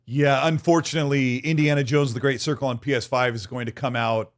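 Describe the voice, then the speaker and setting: A man hosting a casual gaming podcast, reading viewer super chats with quick, upbeat thank-yous. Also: medium pitch, steady tone.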